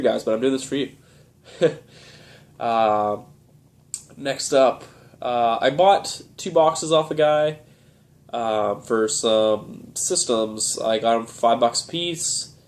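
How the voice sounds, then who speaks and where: A young man with a cold, sounding stuffed up and nasal, chatting casually through a collector's show-and-tell vlog with lots of "uh"s. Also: mid-range voice. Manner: relaxed, rambling, many "uh"s. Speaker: video game collector vlogger.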